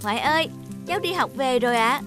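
A woman's voice: sits high, lively intonation, quick pace, music in the background. A woman voicing a devoted, eager young grandson in a cartoon, earnest and respectful. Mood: cheerful. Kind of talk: cartoon voice acting.

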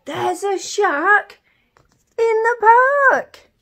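A woman voicing an excited little boy who shouts slowly and loudly in alarm. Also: very high-pitched, creaky, full character voice. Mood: alarmed shouting. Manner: loud, slow, drawn-out shouting.

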